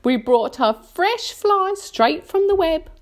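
A woman voicing an anxious, melodramatic female spider from a storybook, mournful and drawn-out in her delivery. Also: very high-pitched, expressive, pitched above the performer's own voice, heavily acted. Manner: dramatic, drawn-out, lamenting delivery.

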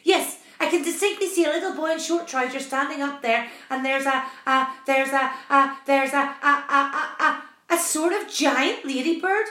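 A woman's voice: fairly high pitch, sing-song, slow pace, hardly any pauses, pushed and bright, heavily acted. A woman voicing a blustering, astonished male ship's captain in a storybook, exclaiming and stammering in alarm. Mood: frantic amazement. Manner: exclamatory, stammering, repeating himself. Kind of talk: storybook read-aloud. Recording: roomy, echoing sound.

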